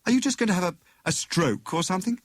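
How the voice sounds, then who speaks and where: A man's voice: high voice, quick pace, no room sound, clean studio sound, pitched above the performer's own voice. A man voicing a genial, puzzled young husband and amateur detective, boyish and earnest. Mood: concerned.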